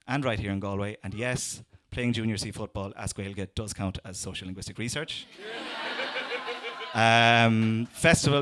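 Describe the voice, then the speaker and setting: A man's voice: lowish voice, fairly bright tone, a little hiss or hum. A young Irish man doing a stand-up comedy set, talking in a sardonic, conversational way with lots of fillers and restarts.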